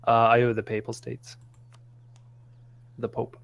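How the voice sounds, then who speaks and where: A man's voice: mid-range voice, completely flat delivery, a little slow, gravelly, muffled recording, a little hiss or hum. A man playing a strategy game online, chatting casually and hesitantly with another player. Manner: easygoing, hesitant, joking. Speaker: fellow streamer player. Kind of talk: casual gaming chat.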